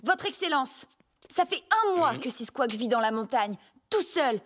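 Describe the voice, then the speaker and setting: High-voiced spirited cartoon girl. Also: animated, measured pace, fairly bright tone, full character voice. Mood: earnest, pleading. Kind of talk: dubbed animated adventure acting.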